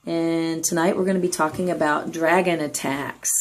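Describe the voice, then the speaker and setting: Woman, hoarse, raspy, lowish voice, chatty, upbeat, gameplay tutorial narration. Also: steady tone, normal speed. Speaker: clan leader giving strategy tips.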